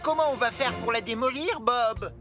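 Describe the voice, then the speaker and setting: A woman's voice: fairly high pitch, moderate pace, music in the background, lightly acted. A woman voicing an innocent, easily worried young crew member in a children's cartoon, with a naive, questioning manner.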